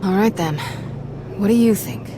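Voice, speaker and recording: An actress playing a brisk, practical young warrior in a video game, direct and informal. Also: female voice, mid-range voice, loud music behind the voice, close to an everyday voice. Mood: wary. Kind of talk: video game cutscene acting.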